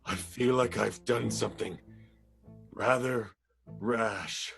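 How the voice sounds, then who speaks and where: Radio comedy werewolf male actor: doggish, lovesick, hesitant, creaky voice, unhurried pace. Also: fairly low pitch, steady tone, a bit bright, soft background music, exaggerated voice. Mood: hesitant confession. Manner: hesitant, halting, sighing. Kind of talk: improvised radio play.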